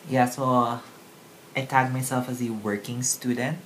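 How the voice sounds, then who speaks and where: A man's voice: medium pitch, normal speed, poor audio. Twenties Filipino guy guesting on a podcast, easygoing and hesitant, faint noise. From Philippines. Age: 20s. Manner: relaxed, hesitant with many ums, switches into Tagalog. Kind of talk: casual podcast chat.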